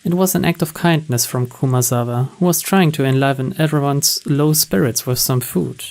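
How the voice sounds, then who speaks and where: A man's voice: medium pitch, moderate pace, gravelly, a little mellow. A man reading a visual novel's story text aloud as scripted narration. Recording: music and rain behind.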